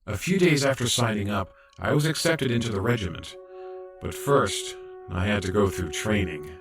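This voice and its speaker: A man's voice: lowish voice, expressive, normal speed, soft background music. A man playing an earnest young robot trainee medic who narrates his story in an audio drama. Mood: earnest, reflective. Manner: earnest, explanatory.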